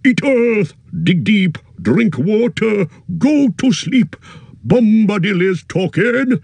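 A hearty old nature spirit, put on by a man, talking in rustic singsong with a raised, lively voice. He bounces through every line with a dry, close sound. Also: high-pitched, slow, slightly rough, dry recording, voice pushed up, exaggerated voice. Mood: jolly.

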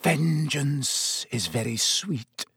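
A man's voice: a little high, big pitch swings, measured pace, breathy edge, no echo, clean studio sound, pitched above the performer's own voice, full character voice. A man voicing a sneering, vengeful schoolteacher with a silky, menacing, contemptuous manner in an audiobook. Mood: silky menace. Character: sneering, triumphant, fanatical male schoolteacher bent on vengeance.

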